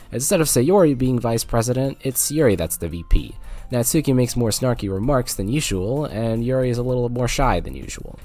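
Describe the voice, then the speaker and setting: A man's voice: mid-range voice, a little mellow. A man narrating a scripted, jokey video game review with sarcastic, playful asides.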